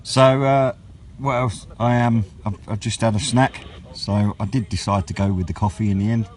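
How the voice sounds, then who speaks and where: A British man chatting casually in a vlog, with a rambling, unhurried, low-key way of talking. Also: fairly even intonation, darkish tone. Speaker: radio hobbyist vlogger. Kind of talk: casual outdoor vlog chat. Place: outdoors on wooded high ground by a road. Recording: outdoor noise with passing vehicles.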